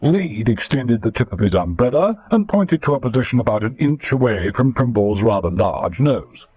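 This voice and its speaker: A man's voice: medium pitch, very animated, normal speed, dark tone, slightly rough, dry recording. A man reading dramatic scripted narration as the narrator of a radio serial.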